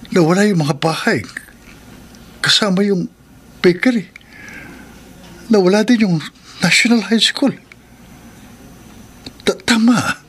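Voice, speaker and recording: A man's voice: on the high side, a bit bright, slight background noise. An actor playing a frightened male taxi driver in a horror radio drama, stammering and talking to himself. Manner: stammering, breathless, self-narrating.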